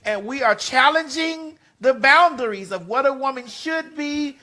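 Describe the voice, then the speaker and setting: A woman's voice: high voice, expressive, measured pace, bright, forceful tone. A woman in her forties talking bluntly and emphatically in a casual podcast chat, repeating phrases for stress and swearing freely. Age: 40s.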